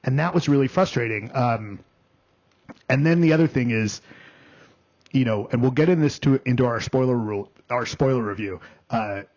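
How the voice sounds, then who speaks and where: A man's voice: mid-range voice, darkish tone. A man reviewing a film on a podcast, talking casually with frequent ums and restarts. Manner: halting, many ums and restarts. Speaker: film critic, podcast co-host. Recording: dull lo-fi podcast audio.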